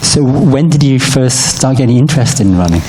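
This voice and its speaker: A man's voice: medium pitch, animated, moderate pace, warm tone, slight background noise, poor audio. A man hosting an on-stage interview at an evening event, chatty and jokey, laughing between phrases. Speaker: event host interviewing a runner. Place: evening event in front of an audience.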